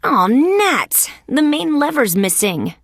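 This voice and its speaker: A woman voicing a young, wisecracking female insect police detective in a video game, with sardonic, deadpan quips. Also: medium pitch, normal speed, no room sound, studio quality, natural voice. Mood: urgent.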